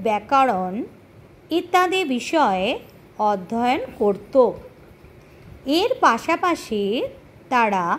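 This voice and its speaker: High-pitched woman teacher, slow dictation. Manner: deliberate, pausing between short phrases as if dictating. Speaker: teacher presenting a history lesson. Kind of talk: slow question-and-answer lesson dictation.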